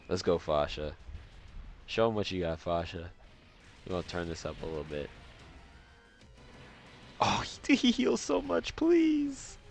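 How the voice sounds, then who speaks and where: Low-voiced, congested man commentating gameplay. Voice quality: congested, stuffy nose. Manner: slangy, repeats phrases to himself. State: nasally congested, breathing through mouth. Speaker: gaming video host. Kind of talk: casual live gameplay commentary.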